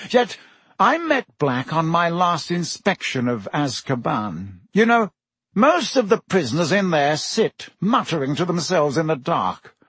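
A man voicing a pompous, anxious middle-aged male minister, fussy and earnest, with a husky voice. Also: a little high, big pitch swings, unhurried, fairly bright tone, dry recording, voice pushed up, heavily acted.

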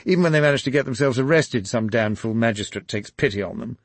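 A man's voice: medium pitch, quick pace, dry recording, pitched above the performer's own voice, natural voice. A man voicing an aggrieved, blustering older aristocrat who speaks. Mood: indignant. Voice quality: indignant, blustering.

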